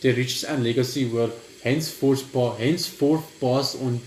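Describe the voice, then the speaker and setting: Young adult man, casual gameplay commentary, halting read-aloud, middle pitch, roomy echo, background noise. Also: fairly even intonation, darkish tone. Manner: halting, stumbles when reading aloud. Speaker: gaming video host.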